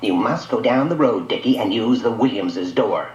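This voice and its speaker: A man voicing a brisk, bossy, impatient mother in a radio story, scolding and insisting as she instructs a child. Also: fairly low pitch, lively intonation, darkish tone, natural voice. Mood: scolding, insistent. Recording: dull lo-fi audio, echoey room.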